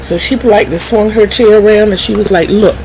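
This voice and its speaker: A middle-aged woman telling personal stories candidly to viewers in a wry, animated manner, acting out remembered conversations. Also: mid-range voice, fairly quick. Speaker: vlogger. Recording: clearly noisy background hum.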